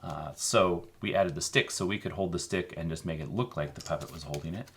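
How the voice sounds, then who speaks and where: A man co-hosting a children's show, explaining a craft casually in an informal, halting way. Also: deep voice. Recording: faint background noise.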